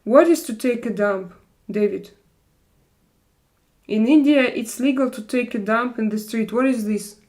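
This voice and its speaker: A woman from Russia, hosting a casual live stream chat and searching for her words in halting English. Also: on the high side, a little mellow.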